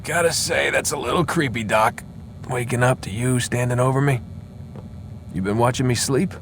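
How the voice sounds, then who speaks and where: An actor playing a sardonic, wisecracking young captive in a video game, with a casual, sarcastic delivery. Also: male voice, medium pitch, moderate pace, a little hiss or hum, lightly acted. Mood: wary, sarcastic. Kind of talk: video game cutscene acting.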